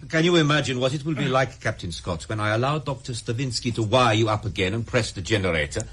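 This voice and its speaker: An actor playing a commanding, menacing enemy interrogator in a radio drama, speaking with formal, cold reasonableness. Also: male voice, medium pitch, expressive, fast talker, fairly bright tone, slightly rough.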